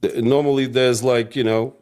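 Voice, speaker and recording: A man's voice: mid-range voice, fairly even intonation, moderate pace, a little mellow, smooth. A man with a Portuguese-Australian accent, rambling casually as an interview guest and often asking "right?". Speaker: real estate guest.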